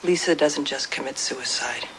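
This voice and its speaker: A woman's voice: rumbling low, normal speed, fairly bright tone, a little hiss or hum, poor audio. A woman playing a blunt, defiant retired producer in her fifties in an audio drama, with a sardonic, bitter manner. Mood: bitter, weary.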